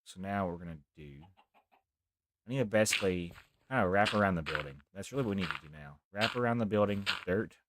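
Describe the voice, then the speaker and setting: A young man with a deep voice, rambling softly through casual live gameplay commentary as a streamer. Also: quick pace, heavy background noise. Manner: rambling, talks softly, near whispering.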